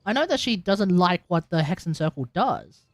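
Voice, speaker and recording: A woman's voice: on the low side, expressive, moderate pace, dry recording. A woman hosting a livestream with casual game commentary, thinking aloud and correcting herself as she goes. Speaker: livestream host. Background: game music.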